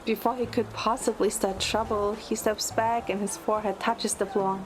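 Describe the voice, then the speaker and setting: A woman's voice: medium pitch, flat delivery, moderate pace. A woman reading a scripted first-person dramatic narration. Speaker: narrator and lead in a fan audio drama. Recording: loud music under the voice. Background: music.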